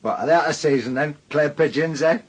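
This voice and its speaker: A man's voice: fairly high pitch, normal speed, slightly rough. An actor playing an affable, slightly defensive salesman in a British radio drama, hesitant and jovial, with a Northern English accent.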